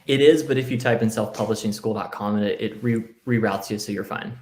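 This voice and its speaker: A man's voice: lowish voice, brisk, a little mellow. Earnest American man, Spanish first language. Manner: earnest, reflective, with fillers like "right?" and "you know". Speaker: podcast guest, author. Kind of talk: casual interview talk.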